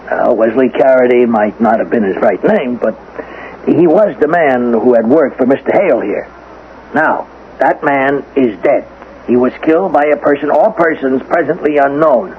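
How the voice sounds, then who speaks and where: A man's voice: medium pitch, natural voice. Male actor as condescending police lieutenant, mid-range voice, official, hesitant hemming, 1970s radio play, tinny noisy recording. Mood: exasperated, insistent. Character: weary, condescending male police lieutenant, defensive about his case. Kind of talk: 1970s radio drama acting.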